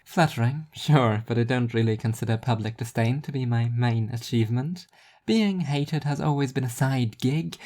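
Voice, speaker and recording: A self-deprecating woman speaks with dry, smiling irony, played by a narrator. Her voice is pitched up, in the conversational manner of an audio story character. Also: darkish tone, natural voice. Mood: dry, resigned. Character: Rhea, wry self-deprecating woman in city politics.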